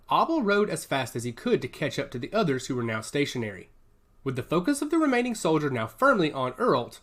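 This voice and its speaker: A male narrator reads a scripted action story in dramatic audiobook style. His voice sits at a medium pitch and moves with lively, varied intonation.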